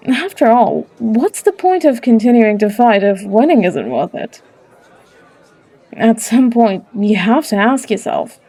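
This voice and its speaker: A woman playing a warm, teasing barkeep and fortune teller in a character audio roleplay, coaxing and unhurried as she calls the listener love. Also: fairly high pitch, exaggerated voice. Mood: wise, teasing.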